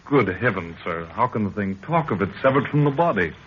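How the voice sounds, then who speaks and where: An actor playing a loyal, polite but sceptical young companion in a 1930s radio adventure, earnest, formal and exclamatory. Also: male voice, lowish voice, animated, brisk, lightly acted. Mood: doubtful. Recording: dull, noisy 1930s radio recording.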